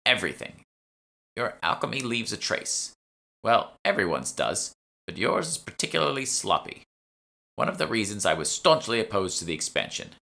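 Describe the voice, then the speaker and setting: A man's voice: on the low side, big pitch swings, normal speed, vocal fry, sharp tone, voice pushed up, exaggerated voice. Man voicing a prickly, wry elderly shopwoman. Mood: knowing, disapproving. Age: older. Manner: grudging, sardonic. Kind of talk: audiobook character dialogue.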